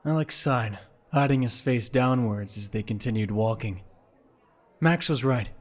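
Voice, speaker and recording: A man's voice: mid-range voice, unhurried. A man giving scripted, dramatic story narration for an audio drama.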